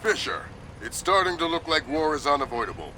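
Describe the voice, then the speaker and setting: An actor playing an authoritative middle-aged mission commander in a video game, giving brisk, urgent orders. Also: male voice, on the high side, big pitch swings, sharp tone, slight background noise, low-quality recording. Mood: serious.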